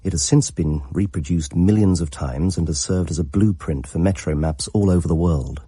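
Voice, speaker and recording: Scholarly narrator, very low voice, mellow, gravel-husky, precise, measured, radio drama. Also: male voice, no echo, studio quality. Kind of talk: scripted documentary-like drama narration.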